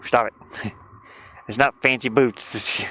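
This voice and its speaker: A man's voice: medium pitch, fairly even intonation, slow, pushed and bright. A man chatting casually on a livestream as he works, rambling and thinking aloud inside a van with a heater running. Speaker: game developer livestreamer. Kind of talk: casual livestream chat while working. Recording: background noise from diesel heater fan. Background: heater hum and clicking.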